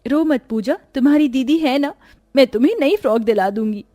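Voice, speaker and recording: A woman voicing a caring, sorrowful young orphan elder sister in a gentle, pleading, earnest way for a cartoon story. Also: sits high, a little fast, no echo, voice pushed up. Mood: gentle, reassuring.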